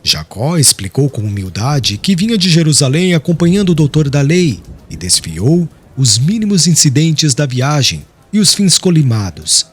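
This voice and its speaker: A man's voice: fairly high pitch, very animated, moderate pace, few pauses, husky. A man narrating a literary audiobook in a solemn, dramatic storytelling style.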